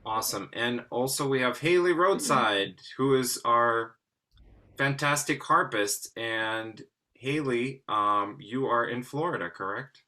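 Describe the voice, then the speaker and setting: A man's voice: medium pitch, slow, bright, forceful tone. A man speaking enthusiastically and conversationally as he interviews guests on a video call. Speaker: interviewer, artistic director and clarinetist.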